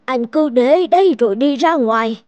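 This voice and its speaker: A woman voicing a kindly, anxious old village midwife in an animated fairy tale, with an earnest, drawn-out delivery. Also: sits high, dry recording, muffled recording, close to an everyday voice. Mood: urging. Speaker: voice actor.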